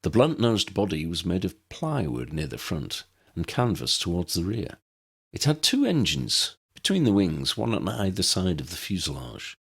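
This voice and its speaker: A man reads fiction aloud as a narrator. His voice is deep and husky, and his pitch swings widely as he tells the tale. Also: big pitch swings, moderate pace, fairly bright tone. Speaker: audiobook narrator.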